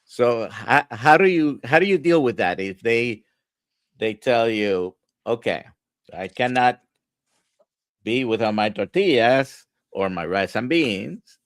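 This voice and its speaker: A man from Puerto Rico hosting an interview, asking thoughtful, halting questions. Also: mid-range voice, lively intonation, no room sound, clean studio sound. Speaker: host physician. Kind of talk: conversational podcast interview.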